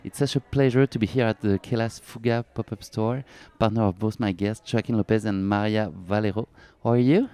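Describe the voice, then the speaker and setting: A man hosting a podcast interview at a pop-up store, friendly and often pausing on fillers. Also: on the low side, fairly even intonation, dark tone, clean tone. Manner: friendly, many filler pauses. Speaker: podcast host. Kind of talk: interview chat. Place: pop-up store at a race event.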